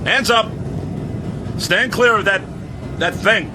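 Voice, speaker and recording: A man playing a gruff, impatient American air force major in a radio drama, brusque and commanding. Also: high-pitched, takes it slowly, music in the background. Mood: angry, barking.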